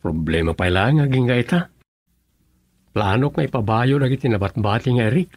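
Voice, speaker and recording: An actor playing an earnest, lovelorn young provincial farmer in an Ilocano radio drama, with an Ilocano accent. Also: male voice, medium pitch, animated, normal speed, darkish tone, dry recording, studio quality, close to an everyday voice. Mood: earnest, worried.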